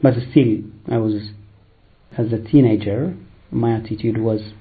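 Priest, gravelly voice, lots of uhs, sounds like over a phone. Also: male voice, fairly low pitch, fairly even intonation, narrow, tinny audio. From Eritrea, raised in Ethiopia. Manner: hesitant, unhurried, many fillers. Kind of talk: personal vocation testimony.

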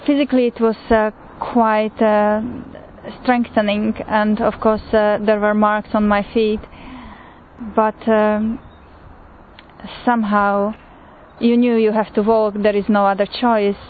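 A woman with a Slovak accent recounts a personal testimony, pausing often and filling gaps with "uh". Her voice stays level and flat in pitch. The recording is thin and phone-like, with a faint hiss underneath. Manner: unhurried, with frequent pauses and fillers. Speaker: pilgrim sharing testimony.

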